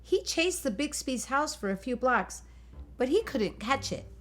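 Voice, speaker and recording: A woman reading a picture book aloud for children, in an expressive, animated and emphatic storytelling delivery. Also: high-pitched, measured pace. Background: music.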